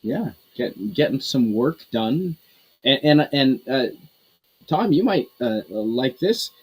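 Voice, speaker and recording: A man's voice: mid-range voice, animated, takes it slowly, a little mellow, slightly rough. A man from Canada chatting on a podcast in a rambling, hesitant way, with lots of restarts and fillers. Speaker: podcast co-host. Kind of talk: casual group podcast chat.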